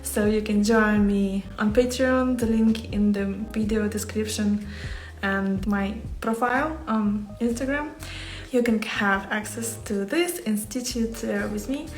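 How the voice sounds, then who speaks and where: A woman's voice: mid-range voice, measured pace, reverberant, faint music behind. A woman from Ukraine with a Ukrainian accent, chatting casually and a little hesitantly to livestream viewers as she talks about her craft. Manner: hesitant, searching for words. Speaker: embroidery artist hosting a livestream. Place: small home workspace indoors.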